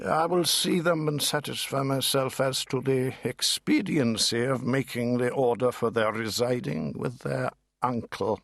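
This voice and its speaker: A man voicing a stately judge in an audiobook, formal and measured with slight hesitations. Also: medium pitch, vocal fry, a bit bright, no echo, studio quality. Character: stately, unhurried Lord High Chancellor, judge.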